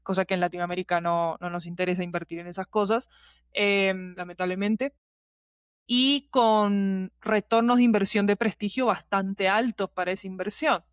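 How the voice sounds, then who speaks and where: A woman's voice: mid-range voice, steady tone, normal speed, clean tone, dry recording, studio quality. A woman giving talkative, digressive interview answers as a podcast guest, with emphatic repetition. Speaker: podcast guest, scriptwriter and producer.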